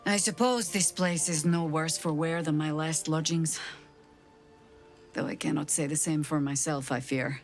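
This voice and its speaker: Weary, wry, level-toned adventurer. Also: female voice, sits low, fairly even intonation, a little slow, soft background music. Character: weary wounded female companion, wry and guarded. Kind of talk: video game voice acting.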